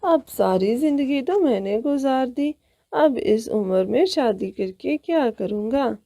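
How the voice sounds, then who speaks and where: A woman plays an ageing male cartoon crow, good-natured and resigned. The delivery is slow and mellow, recorded dry. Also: high voice, expressive, no echo, heavily acted.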